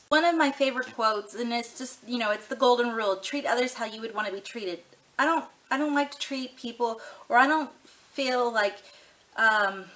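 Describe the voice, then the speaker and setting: A woman's voice: medium pitch, fairly bright tone, rough recording. A woman in her thirties chatting casually to camera for a tag video, rambling and frank with lots of ums and you-knows. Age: 30s. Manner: easygoing and frank. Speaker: vlogger doing a tag video.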